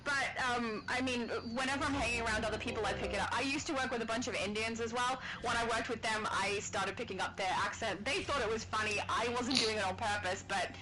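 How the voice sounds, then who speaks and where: A woman's voice: fairly high pitch, fast talker, few pauses, over music, low-quality recording. A woman from Australia with a light, slightly British-tinged Australian accent, casually chatting and laughing while hosting a gaming livestream and reading chat aloud. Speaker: livestream host.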